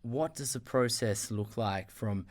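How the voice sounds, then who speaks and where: A man's voice: medium pitch, a little slow. A man hosting a podcast interview, effusive and full of fillers and restarts. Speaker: podcast host. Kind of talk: casual interview chat.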